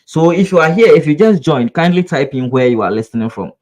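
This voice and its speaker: A man's voice: fairly high pitch, expressive, a little fast, darkish tone. A man from Ghana with a Ghanaian English accent, teaching trading in a live webinar, repeating himself and asking rhetorical "what?" questions. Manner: earnest, coaching address to viewers. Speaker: trading instructor.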